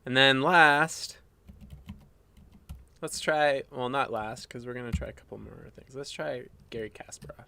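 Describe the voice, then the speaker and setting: A man's voice: mid-range voice, steady tone, a little slow, vocal fry, sharp tone, slight background noise. A man, a little phlegmy, designing live and musing aloud in a rambling casual way with fillers and restarts, at a desk mic in his home workspace. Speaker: graphic designer streaming his work.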